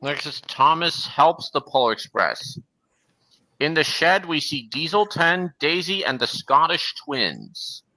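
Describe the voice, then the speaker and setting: Bright, higher-pitched man giving earnest commentary. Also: big pitch swings, slow pace, low-quality recording. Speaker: livestream host. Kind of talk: casual commentary on videos. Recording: music playing behind the voice.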